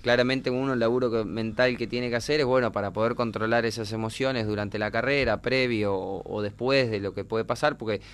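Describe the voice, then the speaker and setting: A man in his twenties with a Uruguayan accent, a racing driver answering interview questions in a halting way, often repeating words while he thinks. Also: mid-range voice, steady tone, smooth. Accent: Uruguayan Spanish. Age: 20s. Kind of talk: casual interview talk.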